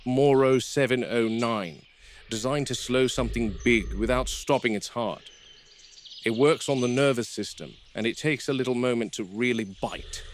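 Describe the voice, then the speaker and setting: A man playing a calm, commanding veteran game warden in found-footage horror, speaking in measured, terse, authoritative phrases. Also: medium pitch, slight background noise, lightly acted. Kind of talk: found-footage horror film acting and log narration. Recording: VHS-style found footage, music underneath.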